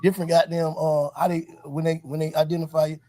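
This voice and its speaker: Fast-talking, high-pitched man, husky and animated. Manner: emphatic, slangy, repeats points. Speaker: podcast host interviewing a guest. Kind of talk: heartfelt advice in casual street talk.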